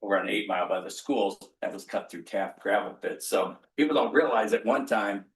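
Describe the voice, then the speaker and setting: Low-voiced man chairing a roomy meeting. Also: a little fast, far from the mic. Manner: folksy, recounts local history, calls on speakers. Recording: roomy council chamber.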